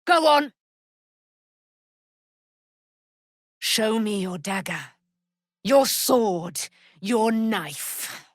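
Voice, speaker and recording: An actress playing a proud, righteous immortal warrior, daughter of a moon goddess, with grandiose, formal delivery in a fantasy video game. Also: female voice, medium pitch, lively intonation, very slow, no echo, clean studio sound. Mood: defiant, challenging.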